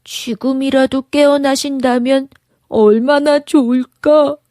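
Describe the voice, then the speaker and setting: A woman voicing an excitable, soft-hearted male dwarf in a storybook, exclaiming with emotion. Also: high voice, slow, no echo, clean studio sound, pitched above the performer's own voice. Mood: tearful worry. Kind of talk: storybook character voice.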